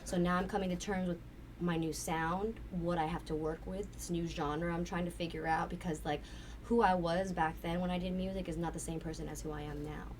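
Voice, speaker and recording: A woman with a raspy voice, chatting candidly and at length as a guest, swearing casually. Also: on the low side, fairly even intonation, fairly quick, a little mellow. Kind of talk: casual interview chat. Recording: distant mic with faint noise.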